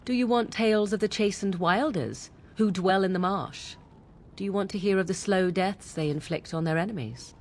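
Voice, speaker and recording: A woman's voice: mid-range voice, normal speed, darkish tone, slight background noise, natural voice. A woman playing a haughty, sardonic witch of the wilds with archaic, mocking diction in a fantasy video game. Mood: mocking, darkly teasing. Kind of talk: dramatic video game acting.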